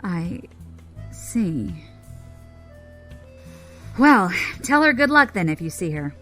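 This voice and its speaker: An actress playing a tough, guarded space pirate leader in an audio drama, terse and commanding. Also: female voice, on the low side, big pitch swings, takes it slowly, a bit bright, soft background music, full character voice.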